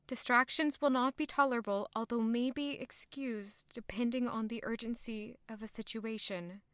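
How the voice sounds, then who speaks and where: An actress playing a young maid who is defensive and in love, thinking aloud with strong emotion in an audio drama. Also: female voice, fairly high pitch, steady tone, moderate pace, fairly bright tone, clean tone, natural voice. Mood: pensive.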